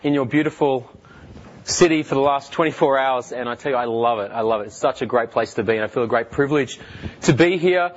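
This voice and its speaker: An Australian man in his thirties, a guest speaker telling his life story in earnest, halting speech full of fillers and restarts. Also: medium pitch, highs cut off. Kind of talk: personal testimony talk.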